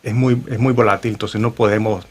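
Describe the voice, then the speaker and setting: A man's voice: on the low side, steady tone, quick pace, husky. A man talking as a real estate agent on a radio morning show, with many fillers and restarts. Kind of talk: radio talk show guest. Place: radio studio.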